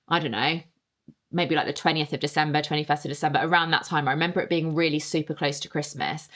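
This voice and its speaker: Host: young woman, low-pitched, rambling and chatty. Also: a bit bright. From England. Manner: chatty, rambling, reading listener answers aloud. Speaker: podcast host. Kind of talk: casual solo podcast chat.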